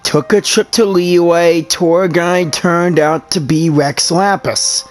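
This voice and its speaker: Slow, low-pitched, casual woman gamer. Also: fairly even intonation, soft background music.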